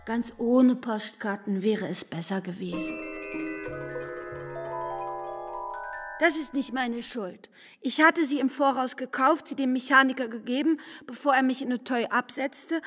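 Mallet percussion plays clearly behind a capricious young lover in a literary radio play. Her voice is on the high side. She is defiant and flippant, justifying herself with dramatic flair. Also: normal speed, fairly bright tone. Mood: self-justifying, mocking. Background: mallet percussion music.

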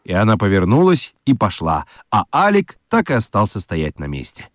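A man narrating a children's radio story in an expressive, scripted storytelling style. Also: on the low side, normal speed, dry recording, clean studio sound.

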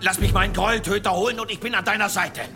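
A man's voice: high voice, steady tone, fast, over music. An actor playing an agitated, grieving father who wants revenge, in a German radio drama. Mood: eager. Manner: agitated, pleading. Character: grieving, vengeful father in an old village. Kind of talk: German radio play acting.

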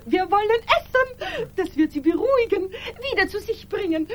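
A woman's voice: very high voice, lively intonation, normal speed. An actress in a radio drama playing a practical young woman, placating and matter-of-fact in manner. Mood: soothing, practical. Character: Daisy, practical young woman, soothing and resigned.

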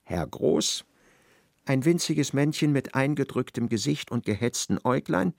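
Gravelly narrator, wry, measured, literary radio drama, close dry sound. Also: male voice, mid-range voice, lively intonation, a little mellow, no echo. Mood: dryly ironic.

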